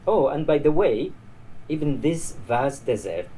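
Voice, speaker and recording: A man's voice: a little high, big pitch swings, a little slow, warm tone. A man reading the part of a tired old border guard in a story, chatty, dismissive and grimly amused. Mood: calm warning. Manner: weary, chatty, discouraging. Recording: noisy live reading in a bar.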